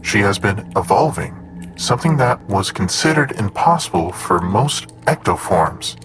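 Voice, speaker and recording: A low male voice with lively intonation, as a precise, unflappable android assistant in an audio drama. The delivery is formal and measured, with clear noise and typing behind it. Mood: matter-of-fact. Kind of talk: audio drama acting, report reading.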